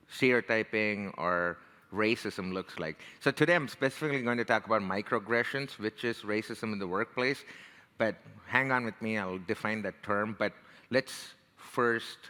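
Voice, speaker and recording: Indian-accented man, bright voice in a hall. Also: lowish voice. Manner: conversational, frequent "right?" and "you know". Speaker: speaker. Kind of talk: motivational talk.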